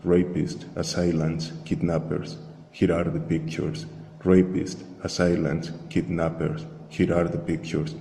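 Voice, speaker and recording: A man reading a scripted first-person story in a measured, monotone, reflective way. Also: low-pitched, creaky. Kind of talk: slow scripted first-person narration, spoken-word art piece. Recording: echoey long reverb, faint hiss.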